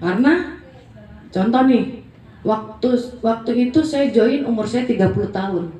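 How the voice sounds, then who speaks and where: A woman's voice: on the high side, moderate pace, warm tone, echoey room, distant mic, slight background noise, low-quality recording. A woman in her forties giving a blunt, emphatic motivational talk in a casual, colloquial way. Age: 40s. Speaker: network marketing presenter.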